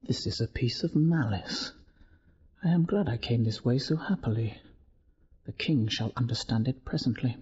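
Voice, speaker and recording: Actor as formal, confiding royal physician. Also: male voice, mid-range voice, normal speed, a little mellow, natural voice. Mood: indignant. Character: indignant, loyal royal physician. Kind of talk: Shakespearean radio drama acting. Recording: slightly dull audio.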